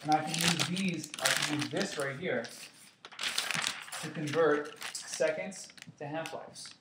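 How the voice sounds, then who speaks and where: A man's voice: on the high side, slow, distant mic. A man teaching a chemistry review lesson to his class, playful and joking with students. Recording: echoey classroom with noise.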